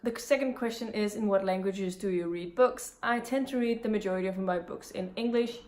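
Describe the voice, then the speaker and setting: A woman's voice: mid-range voice, brisk, a little mellow. A young woman from Denmark with a Danish accent, talking casually about books in English, with frequent ums and restarts. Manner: chatty, many ums and restarts. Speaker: book vlogger. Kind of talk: casual vlog chat.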